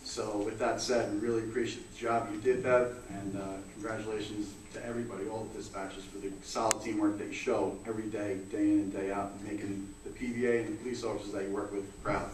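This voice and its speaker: A man giving a short congratulatory speech in public as a police detective, measured and somewhat halting. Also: on the low side, level tone. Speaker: police detective, union representative. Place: indoor public meeting room. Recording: echoey room, distant mic, background noise.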